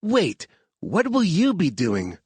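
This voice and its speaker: A man voicing a young female hacker who is out of breath and wry, his voice pushed high. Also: wildly swooping pitch, takes it slowly, slightly rough, dry recording, full character voice. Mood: breathless.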